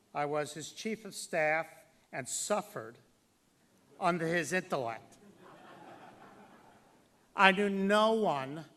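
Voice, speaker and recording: A man addresses a formal banquet as its master of ceremonies. His voice sits a little high for a man, and he takes his time. He delivers tributes deliberately, stressing key words and pausing for effect. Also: a bit bright. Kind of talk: formal tribute speech to an audience.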